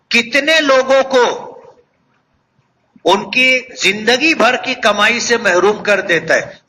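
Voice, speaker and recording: High-pitched, slow preacher man. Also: sharp tone, slight background noise, low-quality recording. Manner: earnest, measured, repeats phrases for emphasis. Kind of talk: religious sermon to a congregation.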